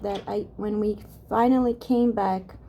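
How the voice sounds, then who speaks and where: A woman from Brazil, a native Portuguese speaker, talking in a soft-spoken, rambling vlog, halting with many restarts and fillers. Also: mid-range voice, steady tone, a little hiss or hum. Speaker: ASMR vlogger.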